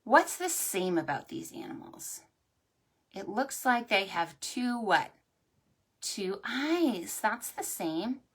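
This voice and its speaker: A woman hosting a preschool storytime, reading aloud and chatting to young children in an expressive, sing-song way. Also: mid-range voice, slow, slightly rough. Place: at home or indoors, livestreamed.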